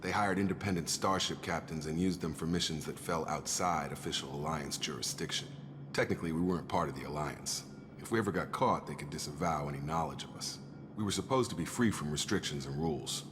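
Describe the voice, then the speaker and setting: An actor playing a jaded, dutiful ex-military operative in a video game, plain-spoken and matter-of-fact. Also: male voice, a bass voice, brisk. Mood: guarded, matter-of-fact. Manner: matter-of-fact, world-weary. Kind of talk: cinematic video game dialogue. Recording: long reverb, loud background noise.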